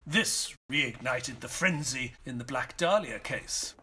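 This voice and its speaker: An actor playing a loyal, melancholy doctor, narrating an audio play in a measured, wistful manner. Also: male voice, mid-range voice, lively intonation, sharp tone, husky, exaggerated voice. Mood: wistful, sombre. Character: British doctor, loyal, melancholy friend narrating his memories.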